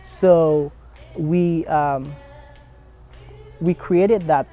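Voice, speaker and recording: A young man who grew up in Haiti talks about his neighborhood in an earnest, halting way. His voice sits a bit higher than most men's, and his sentences come with many pauses, uhs and restarts. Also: fairly even intonation, mellow, soft background music. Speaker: community center founder's son. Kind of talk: documentary interview.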